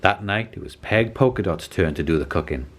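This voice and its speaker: A man reading a children's story aloud as an expressive narrator. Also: low-pitched, brisk. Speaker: storybook reader.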